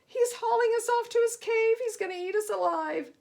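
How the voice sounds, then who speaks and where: A woman reader puts on panicky sea voyagers in a storybook. The voice leaps very high into loud, shrill cries, excitable and terrified. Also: moderate pace, gravelly. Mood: panicked. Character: terrified, excitable ship passengers and crew crying out.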